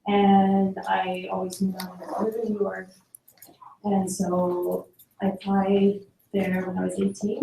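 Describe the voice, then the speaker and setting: Young woman from Sweden, flat gravelly delivery, distant mic in an echoey hall. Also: mid-range voice, slight background noise. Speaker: photographer. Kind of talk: personal talk about her career.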